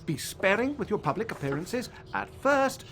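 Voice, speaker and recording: An English actor voicing a vain, boastful celebrity wizard author, theatrical and self-satisfied, in a video game. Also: male voice, high voice, sing-song, normal speed, background noise. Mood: smug. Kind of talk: video game cutscene acting.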